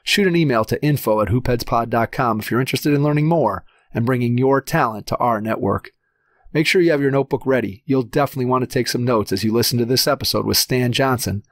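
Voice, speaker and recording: A man hosting a basketball podcast, reading a guest introduction and promos in a crisp announcer delivery, then asking questions. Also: mid-range voice, a little fast, no room sound, studio quality. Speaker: podcast host. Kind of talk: scripted intro and ad reads, then interview questions.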